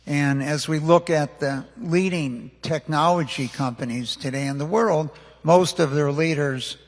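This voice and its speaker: A man interviews on stage in a measured, slow delivery. His voice is a little high for a man and carries the long reverb of a big hall. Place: large indoor hall before an audience.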